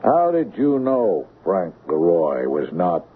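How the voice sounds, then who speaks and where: Slow, deep stolid policeman. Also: big pitch swings, full character voice. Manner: formal, deliberate. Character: stolid male police officer giving a methodical report. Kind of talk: 1970s radio drama acting. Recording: narrow, faintly noisy 1970s radio broadcast.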